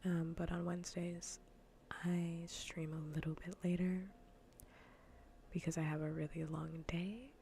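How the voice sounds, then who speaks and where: Soft low-voiced woman whispering, slightly creaky. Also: level tone, unhurried, slight background noise. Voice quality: soft, breathy whisper mixed with low murmur. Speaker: streamer. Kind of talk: ASMR gaming livestream chat, soft whispering.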